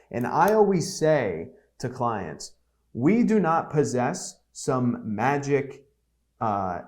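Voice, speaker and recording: Man, 20s, podcast guest, blunt, candid, casual, mid pitch. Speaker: podcast guest, agency CEO.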